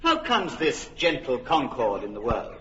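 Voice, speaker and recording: An actor playing a stately, decisive duke who speaks with authority in a classic radio drama. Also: male voice, mid-range voice, sing-song, measured pace, sharp tone, long reverb tail, slight background noise. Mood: courtly. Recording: muffled old radio recording.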